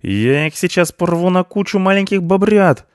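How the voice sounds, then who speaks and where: A man's voice: high-pitched, animated, slow pace, a little mellow, dry recording, clean studio sound, voice pushed up. A man voicing a rude, hot-tempered ginger tomcat in an animal story, shrieking and hissing threats. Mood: furious.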